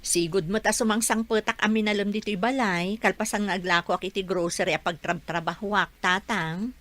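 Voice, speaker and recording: Woman acting a bright-voiced, inquisitive daughter. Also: medium pitch, steady tone, fairly quick, natural voice. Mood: questioning. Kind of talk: radio drama acting.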